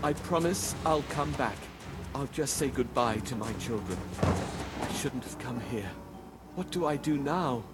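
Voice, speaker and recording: A man's voice: medium pitch, lively intonation, measured pace, loud music behind the voice, close to an everyday voice. An actor playing an anxious, loving old merchant father, pleading and emotional, in a children's fairy tale. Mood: frightened, pleading. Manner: pleading, emotional, dramatic delivery.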